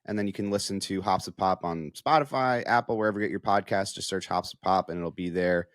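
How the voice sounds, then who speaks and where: A man's voice: deep voice, fast talker, dry recording, clean studio sound. A man speaking as a guest sports analyst, explaining things in a casual, conversational way.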